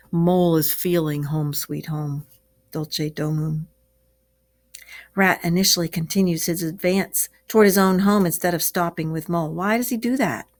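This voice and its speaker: A woman teacher reading a story aloud to children and explaining it expressively, asking the class questions. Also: low-pitched. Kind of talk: read-aloud lesson for children.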